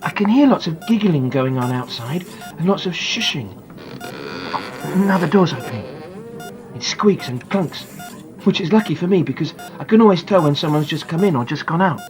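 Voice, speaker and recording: A woman voicing an excitable young boy who lies in a coma, confiding his thoughts emphatically in a dramatic audiobook narration. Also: low voice, normal speed, faint music behind. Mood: wry, curious.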